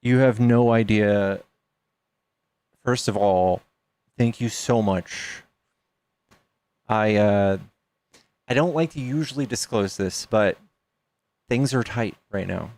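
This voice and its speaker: A man's voice: fairly low pitch, slow, long pauses, a little mellow. A man in his thirties chatting casually on a livestream, joking with viewers and reading out donations. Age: 30s. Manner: chatty, reads donations aloud, jokes with chat. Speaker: streamer.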